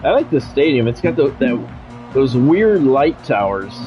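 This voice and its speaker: A dull, lo-fi recording of an American man with a mid-pitched voice. He streams a football game, moving between casual chat and play-by-play in an informal, wry, self-deprecating way. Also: big pitch swings, measured pace, soft background music. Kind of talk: casual chat mixed with sports commentary. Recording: dull, lo-fi microphone sound.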